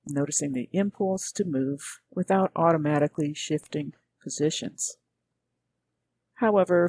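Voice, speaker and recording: A woman guiding a meditation as a teacher, speaking gently in measured, unhurried phrases with pauses. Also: low voice, slight background noise. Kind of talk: guided meditation narration.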